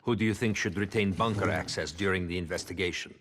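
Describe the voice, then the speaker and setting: An actor playing a grave, authoritative Soviet leader in a video game, speaking in a measured, commanding way. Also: male voice, low voice, a bit bright, slight background noise. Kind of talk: dramatic video game cutscene acting.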